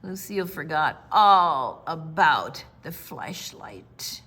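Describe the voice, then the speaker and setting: A woman's voice: low-pitched, very animated, slow pace, bright, forceful tone, slightly rough. A woman hosting a children's storytime, reading and chatting expressively, drawing out words for young listeners. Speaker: children's storytime host and reader. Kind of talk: expressive read-aloud and friendly chat.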